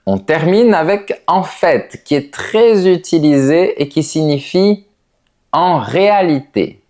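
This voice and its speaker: A male French teacher speaks at a deliberately slow pace, shaping each word with care. His voice rises and falls in a lively, animated arc, lifting to put emphasis on the key words. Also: medium pitch. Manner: carefully enunciated, explanatory, with lively rising emphasis on key words. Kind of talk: slow, clearly articulated teaching.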